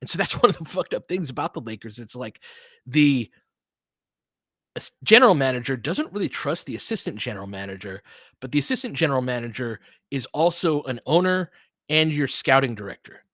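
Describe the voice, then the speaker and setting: A man's voice: medium pitch, big pitch swings, a little fast, fairly bright tone, no echo, studio quality. A man giving casual, opinionated basketball commentary as a podcast host, emphatic and conversational, often checking in with rhetorical questions.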